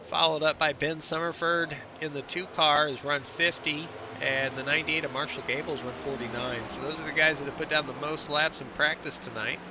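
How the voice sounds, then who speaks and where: A man's voice: fairly high pitch, fairly even intonation, brisk, few pauses, noisy background. A man with a stuffy, nasal voice from a cold, doing chatty play-by-play commentary for an online race broadcast and reading out lap times. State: congested, under the weather. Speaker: sim racing commentator.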